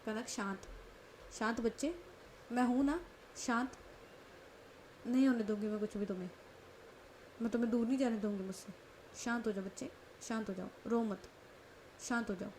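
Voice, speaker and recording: A woman voicing a calm, protective young woman who soothes and reassures in a told story, speaking softly. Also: fairly high pitch, normal speed, pauses often, slight background noise, natural voice. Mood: soothing.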